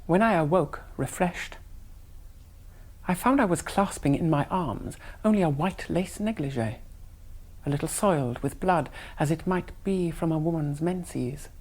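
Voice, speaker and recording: A man playing an earnest young English soldier in a radio drama, speaking in hushed, measured, romantic narration. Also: on the high side. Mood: tender, wistful. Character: earnest young British soldier hero on a cycling tour. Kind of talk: 1970s radio drama monologue and dialogue.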